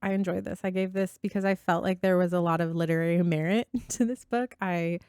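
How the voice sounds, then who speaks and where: A woman's voice: fairly low pitch, fairly even intonation, brisk, a little mellow, clear, resonant tone, no echo. Enthusiastic woman chatting, close and dry. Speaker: podcast co-host. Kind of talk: casual book discussion.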